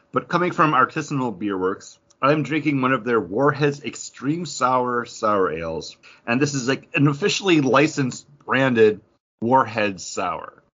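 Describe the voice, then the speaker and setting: Man, podcast host, deep-ish raspy tone, animated, casual chat, lo-fi sound.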